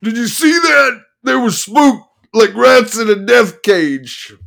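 A man voicing a wild, volatile lawyer who shouts and snarls in a high, strained voice. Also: lively intonation, slow pace, gravelly, exaggerated voice. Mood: manic shouting.

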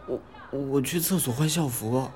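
An actor playing a timid, introspective male high school student in an audio drama, speaking gently and hesitantly. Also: normal speed, noisy background, close to an everyday voice. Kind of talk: audio drama acting and inner narration.

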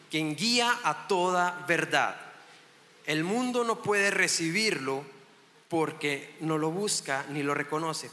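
A man's voice: fairly high pitch, pushed and bright. Mexican man preaching in an echoey hall. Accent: northern Mexican. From Chihuahua, Mexico. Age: 30s. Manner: chatty and self-joking, with many restarts. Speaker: guest preacher. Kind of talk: sermon. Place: church hall. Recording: echoey hall with long reverb.

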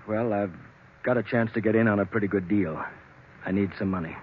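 A man's voice: lowish voice, fairly quick, slight background noise, lightly acted. A man playing a defeated, henpecked middle-aged husband in old radio drama, meek, weary and hesitant. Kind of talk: 1940s radio drama acting. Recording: very muffled, fuzzy vintage radio recording.

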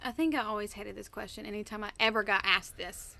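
A woman's voice: medium pitch, steady tone, quick pace, creaky, sharp tone, no room sound. A woman in her twenties co-hosting a podcast, chatting in an easygoing way and giggling often. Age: 20s. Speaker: podcast co-host. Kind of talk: casual chat.

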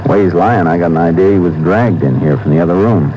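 An actor playing a calm, terse, methodical Texas Ranger in a muffled, noisy 1950s radio drama. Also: male voice, deep voice, fast, natural voice. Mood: businesslike. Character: calm, businesslike male Texas Ranger investigator.

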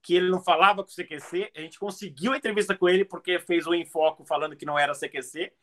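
A middle-aged man talking casually as an interview guest, telling stories in an animated, conversational way. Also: high-pitched, fast talker. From outside Brazil. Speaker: guest, comedian and TV reporter.

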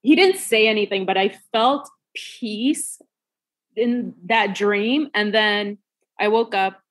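A woman from Puerto Rico, a guest on a podcast, speaking in an unhurried, slightly nervous way and laughing often over a video call. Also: mid-range voice, a bit bright.

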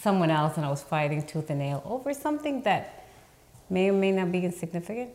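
Low-voiced woman in her fifties. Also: expressive, a little fast, darkish tone. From Dominican Republic, raised in New York. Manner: earnest, talks through her story with many ums. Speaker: real estate attorney, podcast guest. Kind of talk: casual interview talk.

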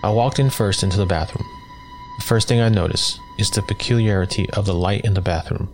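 A man reading a first-person scary story as a scripted narration, in a measured, matter-of-fact way. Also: lowish voice, soft background music. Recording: clear background noise.

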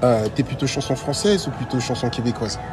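Male interviewer for a light podcast. Casual, playful, teasing delivery over clearly audible music. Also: mid-range voice, lively intonation, fairly quick. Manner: informal, teasing, jokes along with the guest. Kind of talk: playful quick-fire interview.

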